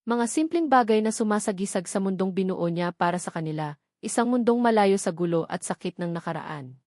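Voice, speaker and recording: A woman with a Filipino accent reading scripted romantic drama narration in a measured, emotive way. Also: mid-range voice, animated, a little mellow, dry recording, clean studio sound. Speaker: audiobook story narrator.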